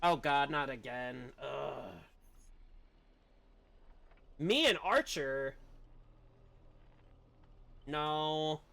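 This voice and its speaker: Bright, clear-voiced man streaming a game. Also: fairly high pitch, drawn-out words, long pauses. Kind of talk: casual live gameplay commentary, reacting.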